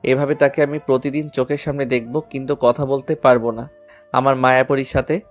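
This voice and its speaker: A man as lovesick schoolboy, earnest, sentimental, flat even intonation, medium pitch, music bed. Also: brisk, lightly acted. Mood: yearning, determined. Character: lovesick, devoted schoolboy, respectful to elders. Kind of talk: Bengali audio story acting.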